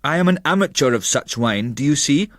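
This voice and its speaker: A man's voice: fairly high pitch, lively intonation, fairly quick, no echo, studio quality, voice pushed up, close to an everyday voice. A man voicing a mild, friendly old French innkeeper in a storybook, courteous and plain-spoken.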